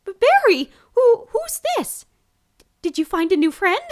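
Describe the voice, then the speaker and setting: A man's voice: extremely high pitch, sing-song, big-room sound, voice pushed up, full character voice. A man voicing a sweet, motherly shopkeeper mare who dotes on everyone. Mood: doting. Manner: sweet, doting and slow. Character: motherly middle-aged mare, a baker.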